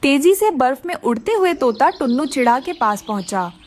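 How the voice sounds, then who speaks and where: High, expressive female storyteller. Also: normal speed, a little hiss or hum. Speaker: narrator. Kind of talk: scripted children's story narration.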